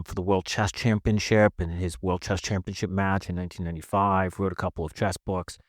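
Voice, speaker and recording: A man in his fifties with an American accent and a fairly low voice speaks as a podcast guest. He tells his story conversationally, often stopping to correct himself. The sound is close and dry. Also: no echo. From northeastern United States. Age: 50s.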